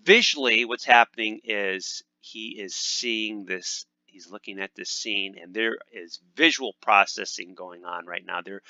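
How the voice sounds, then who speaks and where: A man's voice: on the low side, pushed and bright, clear, resonant tone, dry recording, muffled recording. A man hosting a casual language lesson. He talks upbeat over gameplay, with many "uh" fillers and restarts. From United States. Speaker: language teacher and channel host.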